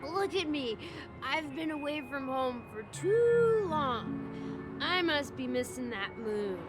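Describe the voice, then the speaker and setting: A blissfully deluded game character, a man lost in escapism. He drags his words out very slowly and woozily, with loud music all around him. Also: very high voice, sing-song, exaggerated voice. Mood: wistful, boastful. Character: dreamy, escapist male reveler, homesick but blissfully deluded. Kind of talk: video game cutscene acting.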